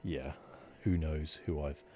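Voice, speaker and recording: A soft-spoken man giving hesitant, rambling ASMR commentary as host of a video game playthrough. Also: extremely low pitch, steady tone, slow.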